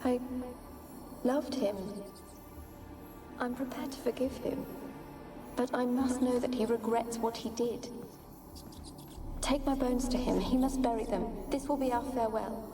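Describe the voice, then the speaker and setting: A woman's voice: on the high side, fairly even intonation, measured pace, pauses often. An actress playing the ghost of a sorrowful young noblewoman in a video game, pleading and evasive, with archaic, plaintive phrasing. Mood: solemn, pleading. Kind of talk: dramatic video game acting. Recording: echoing, distant ghostly voice over music.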